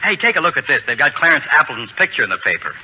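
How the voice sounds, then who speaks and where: Easygoing, sharp-bright radio husband. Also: male voice, fairly high pitch, very animated, racing, a little hiss or hum, full character voice. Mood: casual, good-humored. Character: easygoing, good-humored husband and lawyer. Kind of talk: old-time radio comedy acting. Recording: muffled old radio broadcast.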